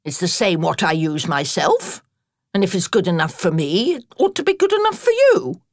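A woman voicing a gruff, bossy older female badger in a storybook reading, brusque and commanding. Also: on the low side, sing-song, moderate pace, no room sound, clean studio sound. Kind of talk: audiobook character reading.

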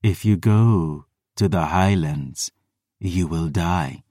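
A man's voice: low voice, warm tone, no echo, clean studio sound. A man voicing a cold, solemn vampire woman. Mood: grave. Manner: slow, measured, grave delivery. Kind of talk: audiobook character voice.

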